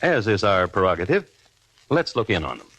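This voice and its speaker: A man's voice: on the low side, sing-song, normal speed, a bit bright, no echo, dull audio. An American man hosting and narrating a 1950s radio crime drama in a wry, droll, scripted style. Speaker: host and narrator.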